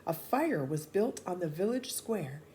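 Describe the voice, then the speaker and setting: Female children's storybook reader, animated and deliberate, very varied pitch, slight noise behind. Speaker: children's read-aloud storyteller. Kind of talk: expressive storybook narration.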